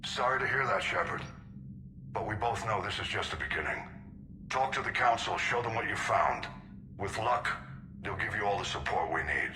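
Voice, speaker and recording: An actor playing an older, stern military admiral in a video game, brisk and authoritative. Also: male voice, fairly low pitch, animated, noisy background, lightly acted. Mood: stern, resolute. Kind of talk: video game cinematic acting. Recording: echoey comm transmission, music behind.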